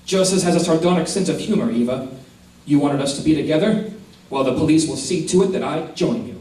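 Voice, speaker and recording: A man playing a grim, bitter husband in an old-time radio drama on stage, sardonic and deliberate. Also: a little high, warm tone, slight background noise, lightly acted. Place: theater stage before a live audience. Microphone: stage mics, recorded by far camera. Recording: echoey stage hall, far mic.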